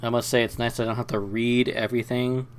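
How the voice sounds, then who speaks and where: A man's voice: medium pitch, flat delivery, a little fast, a little hiss or hum. A man hosting a let's play, mixing casual game commentary with reading story text aloud.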